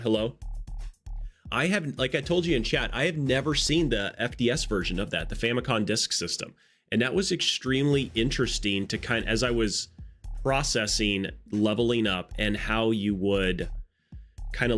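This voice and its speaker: Congested low-voiced man streaming live. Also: fairly bright tone. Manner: chatty, greets viewers by name, rambling asides. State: congested nose. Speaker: live streamer greeting chat. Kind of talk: casual live stream chat.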